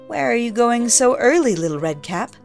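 A woman's voice: a little high, expressive, soft background music. A woman playing a sly, coaxing wicked wolf. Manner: coaxing and sly, slow and looming at the end. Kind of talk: storybook reading.

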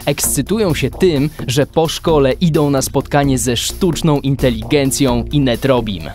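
A man's voice: medium pitch, unhurried, a little mellow, soft background music, close to an everyday voice. A man narrating a children's adventure radio play in a scripted storytelling style. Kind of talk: scripted audio drama narration.

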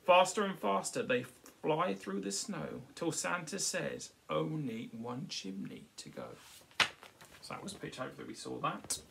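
A man in his thirties or forties reading a picture book aloud to children, pausing to explain it simply. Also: on the high side, vocal fry, background noise. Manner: frequently checks with "okay", explanatory. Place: small furnished room at home. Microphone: device camera at about arm's length.

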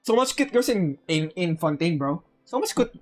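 A woman's voice: lowish voice, big pitch swings, normal speed, darkish tone. A woman giving casual live game commentary as a streamer, playful and slangy. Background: game music.

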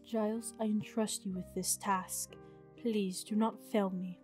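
A woman playing a commanding, scheming goddess mother with a measured, imperious delivery in a fantasy game roleplay. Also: mid-range voice, steady tone, a little mellow, faint music behind, close to an everyday voice. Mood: solemn.

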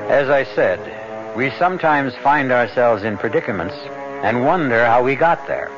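A man's voice: medium pitch, animated, moderate pace. A man hosting a 1970s radio drama with wry, dramatic, conversational narration addressed to the listener. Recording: muffled vintage radio broadcast. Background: music.

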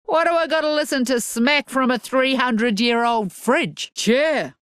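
A woman's voice: high-pitched, measured pace, a bit bright, clean tone, no echo, clean studio sound. An actress playing a brash, mocking middle-aged female rebel fighter in a video game. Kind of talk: video game character voice lines.

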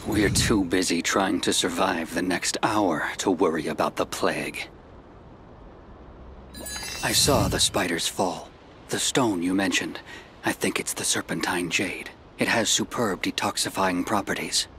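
An actor playing a gruff, beleaguered monastery survivor in a video game, terse and grave. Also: male voice, sits low, a little slow. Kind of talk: video game character acting. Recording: loud ambient noise behind.

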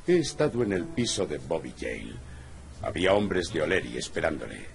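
An actor playing a blunt, world-weary cat private detective in a video game, with a gravelly voice. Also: male voice, a bass voice, sing-song, moderate pace, faint music behind, full character voice. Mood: businesslike. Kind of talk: video game voice acting, noir.

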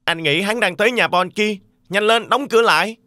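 A man's voice: high voice, fairly quick, bright, forceful tone, no echo, clean studio sound. A man voicing an anxious but stubborn young pig, the eldest brother, in a children's fairy tale cartoon.

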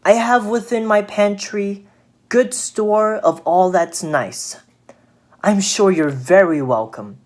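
A woman voicing a sly, flattering male spider for a children's storybook, with slow, honeyed, drawn-out coaxing. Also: medium pitch, pitched above the performer's own voice, close to an everyday voice. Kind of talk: children's storybook read aloud.

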